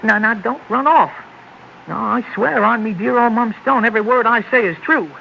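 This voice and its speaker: A man's voice: very high voice, normal speed, gravelly. An actor playing a cheeky, chatty young man in an old radio drama, talking in a wheedling, pleading way. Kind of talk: 1960s radio drama acting. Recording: dull lo-fi old radio recording with hiss.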